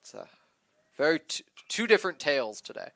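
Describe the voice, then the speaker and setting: A man's voice: fairly high pitch, very animated, brisk, sharp tone, dry recording. A man giving conversational live analysis as a baseball color commentator.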